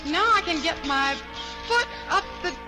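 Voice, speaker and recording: A woman's voice: very high voice, expressive, a little slow, over music. A woman playing a curious, polite but outspoken young girl in a radio play, prim and chatty. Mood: alarmed. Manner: prim, chatty, thinking aloud. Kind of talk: radio play acting of a children's story.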